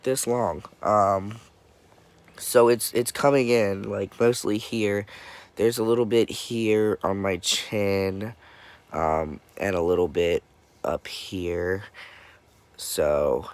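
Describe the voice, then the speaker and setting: A young man talks slowly in a somewhat low voice, stuffy and congested as he comes down with a cold. He sounds worn out and drifts through his update haltingly, with many pauses and filler words. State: dead tired. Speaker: vlogger. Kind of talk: casual personal update.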